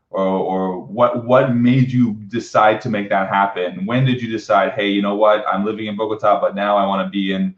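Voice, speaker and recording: A man hosting a casual live webinar interview in an upbeat, informal, chatty way. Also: on the low side. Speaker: webinar host. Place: indoor room, live online video call. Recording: roomy echo, dull lo-fi webcam sound.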